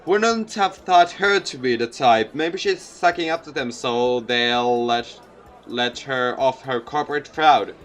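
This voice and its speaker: A man reading a visual novel aloud as a let's player, in an expressive narrating manner. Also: medium pitch, a little slow, soft background music.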